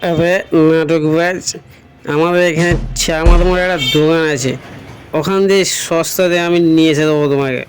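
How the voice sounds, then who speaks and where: A man's voice: a little high, steady tone, moderate pace, close to an everyday voice. A man voicing a crude, grumbling village drunkard who whines and wheedles in a comic cartoon. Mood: scornful. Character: cheeky, grumbling village drunkard begging for booze. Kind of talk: comic Bengali cartoon dubbing. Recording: music behind the voice.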